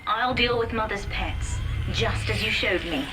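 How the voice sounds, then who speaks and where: A young woman playing a ruthless but devoted teenage daughter in a dramatic video game, with deliberate, earnest, commanding delivery. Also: mid-range voice, natural voice, rough recording. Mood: cold resolve. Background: music.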